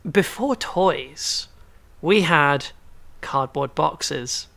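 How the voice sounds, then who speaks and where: A man's voice: a little high, lively intonation, drawn-out words, gravelly, bright, forceful tone. A man from Belgium chatting casually as a podcast co-host.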